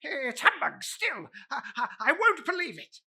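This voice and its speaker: A woman's voice: high-pitched, huge pitch swings, unhurried, gravelly, pushed and bright, pitched above the performer's own voice, heavily acted. A woman voicing a stubborn, irritable old man who is rattled, stammering and blustering, in a dramatic storybook reading. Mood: disbelieving.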